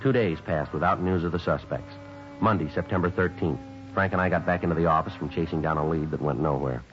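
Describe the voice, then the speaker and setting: Terse police sergeant, low voice, deadpan, clipped, old radio drama, dull recording. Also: male voice, fast talker, soft background music. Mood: matter-of-fact. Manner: deadpan, matter-of-fact. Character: terse, businesslike male police sergeant detective. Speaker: narrator and lead actor.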